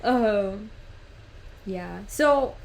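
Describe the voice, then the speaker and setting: A woman's voice: mid-range voice, animated, drawn-out words, vocal fry, darkish tone, slight background noise. Chatty young woman, full of "like"s. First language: Spanish. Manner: rambling, fillers of "like" and "literally". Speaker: podcast host. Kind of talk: casual podcast chat.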